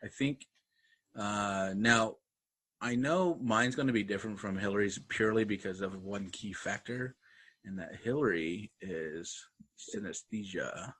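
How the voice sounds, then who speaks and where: A man co-hosts a casual podcast in a husky, bright voice that sits a little low. He jokes along with his co-host and answers in short, easy replies. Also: unhurried. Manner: joking, short interjections.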